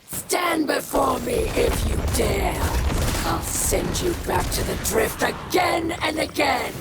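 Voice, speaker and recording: A woman playing a fierce, defiant alien warlord in a video game, with gravelly, commanding battle cries. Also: on the high side, big pitch swings, takes it slowly. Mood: defiant, furious. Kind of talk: dramatic video game acting. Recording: loud game music behind the voice.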